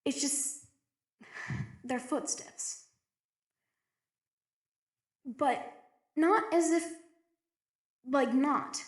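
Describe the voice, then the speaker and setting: An echoey audio drama log performed by a woman from an isolated young female researcher. Her voice is high and anxious. She speaks slowly and haltingly, stammering as she feels her way through each thought in fear. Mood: hushed dread. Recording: echoey roomy recording.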